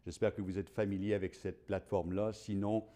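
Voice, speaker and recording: Un homme qui anime un webinaire en studio, chaleureux et hésitant, avec beaucoup de « euh ». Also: male voice, lowish voice, flat delivery, a little fast, dark tone. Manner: friendly, many fillers. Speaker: webinar host. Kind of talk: hosting a live webinar.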